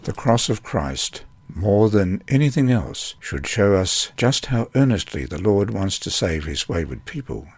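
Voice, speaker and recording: Man, husky rough-edged voice, fairly low pitch, scripted devotional reading. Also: sing-song, a little slow, hardly any pauses, no echo. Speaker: lesson reader.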